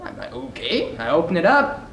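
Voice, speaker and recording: A man's voice: fairly high pitch, huge pitch swings, moderate pace, fairly bright tone. A teenage boy telling a comic story as a speech, animatedly acting out the dialogue. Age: teens. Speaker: student speech performer. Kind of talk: comedic storytelling speech to an audience. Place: large echoey indoor room before an audience. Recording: very noisy, echoey room recording.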